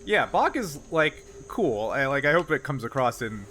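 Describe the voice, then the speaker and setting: Bright-voiced man running a tabletop game. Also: medium pitch, big pitch swings, moderate pace, slight background noise. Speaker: game master. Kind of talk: casual tabletop game narration.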